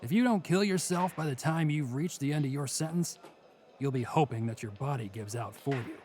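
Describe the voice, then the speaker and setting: A man playing a world-weary, menacing prison inmate in an audio drama sketch, speaking in a deliberate, scornful, taunting way. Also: mid-range voice, darkish tone, lightly acted. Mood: grim, menacing. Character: mocking, world-weary male prison bunk mate, menacing.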